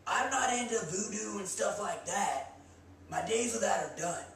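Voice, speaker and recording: A man's voice: high-pitched, unhurried, gravelly, a bit bright, slight background noise. Young man, cocky meathead swagger, echoey room, distant camera. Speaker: comedy sketch host. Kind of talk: mock fitness advice. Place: small indoor room against a bare wall. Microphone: far camera.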